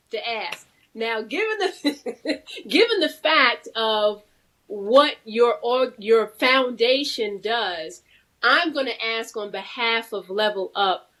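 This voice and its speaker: A woman's voice: a little high, bright, forceful tone, low-quality recording. A woman hosting a casual live interview, talking in an informal, playful way. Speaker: talk show host.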